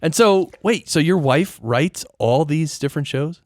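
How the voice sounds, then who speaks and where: A man's voice: on the high side, lively intonation, unhurried, no echo, clean studio sound. A man hosting a podcast in casual, upbeat interview chat. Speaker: podcast host.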